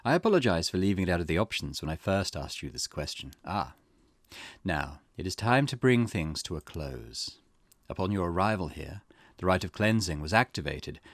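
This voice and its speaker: A man's voice: low voice, dry recording, studio quality. A man reading as a solemn, formal spirit guide. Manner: measured, formal reading of game dialogue. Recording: game music playing behind.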